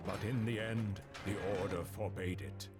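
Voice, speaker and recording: Man acting a commanding mentor of monster hunters, deep-toned, game dialogue, music underneath. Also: steady tone, moderate pace, natural voice. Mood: grave, explanatory. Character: Solomon, commanding male master of monster hunters. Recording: music behind the voice.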